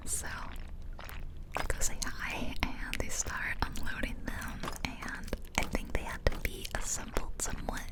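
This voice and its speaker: A woman whispering softly and close to the mic in ASMR style, rambling casually through a personal story in a chatty way. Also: poor audio. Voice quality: soft unvoiced whisper. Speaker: ASMR video creator. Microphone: close-up ear-to-ear stereo microphone.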